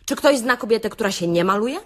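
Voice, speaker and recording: A woman's voice: a little high, lively intonation, quick pace, lightly acted. A woman playing a brash, sarcastic beautician in a satirical radio-play monologue, theatrical and full of rhetorical questions to her listeners. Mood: mock-lecturing. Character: brash, sarcastic beautician delivering a comic self-help rant. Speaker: actress reading a comic monologue.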